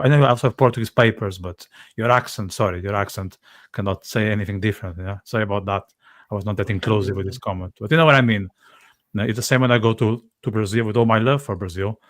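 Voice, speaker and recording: A man hosting a podcast panel, asking questions with quick, apologetic asides. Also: fairly low pitch, dry recording. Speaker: podcast host. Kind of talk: interview questions.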